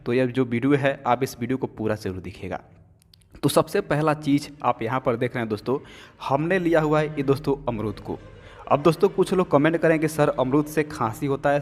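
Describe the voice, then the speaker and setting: Chatty man in a reverberant kitchen. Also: mid-range voice. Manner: chatty, keeps saying "friends" and filler "na". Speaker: home remedy presenter. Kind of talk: cooking demonstration with health tips. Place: home kitchen. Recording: long reverb.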